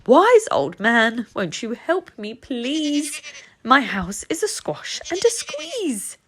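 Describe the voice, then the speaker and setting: A storybook little old lady, acted out by a woman, fretful and exasperated. Her voice sits very high and swoops wildly, stretching words slowly into dramatic pleas and wails. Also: huge pitch swings, a bit bright, soft background music, heavily acted. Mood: pleading. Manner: dramatic, drawn-out pleading and wailing. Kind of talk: children's storybook character voice.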